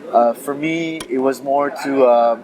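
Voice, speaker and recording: A man in his thirties from France, a guest in a casual interview, who talks haltingly with many fillers and restarts. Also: medium pitch, low-quality recording. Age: 30s. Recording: busy crowd chatter behind.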